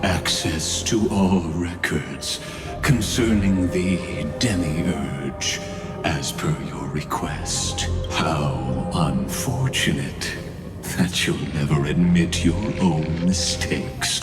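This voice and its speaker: Game villain: smug machine overlord, low-pitched, crawlingly slow, pompous and formal. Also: male voice, animated, loud music behind the voice, heavily acted. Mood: cold, condescending. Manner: deliberate, pompous, formally courteous and menacing. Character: cold, grandiose machine overlord, smugly superior villain. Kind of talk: dramatic scripted game acting.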